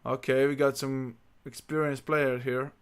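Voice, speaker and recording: A man's voice: medium pitch, flat delivery, unhurried, clear, resonant tone. A man from Slovenia, chatting casually while livestreaming a basketball video game and reading menus aloud to himself. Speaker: streamer. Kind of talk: casual gaming livestream chat.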